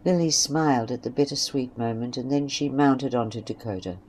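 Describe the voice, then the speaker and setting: A woman's voice: extremely low pitch, a little fast, darkish tone. A woman reads a scripted western story as narrator. Faint ambience and noise sit behind the voice.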